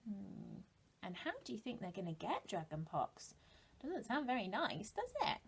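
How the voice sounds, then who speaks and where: British lady teacher chatting to little kids, really up and down, bit creaky. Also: mid-range voice, moderate pace, a little hiss or hum. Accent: British English. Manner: expressive, asks listeners rhetorical questions. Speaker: primary school teacher. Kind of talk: animated talk and storybook reading to young children.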